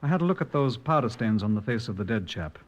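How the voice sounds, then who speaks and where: An actor playing a shrewd, deferential British police sergeant in an old-time radio drama, talking matter-of-factly. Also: male voice, medium pitch, animated, fast, natural voice. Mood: observant. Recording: dull old radio recording, long reverberant hall.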